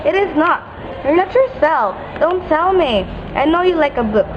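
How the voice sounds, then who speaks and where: Woman as a teasing young woman, high-pitched, lo-fi. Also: lively intonation, normal speed. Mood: concerned, insistent. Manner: direct, teasing. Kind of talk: amateur radio play acting. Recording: dull lo-fi audio, noisy background.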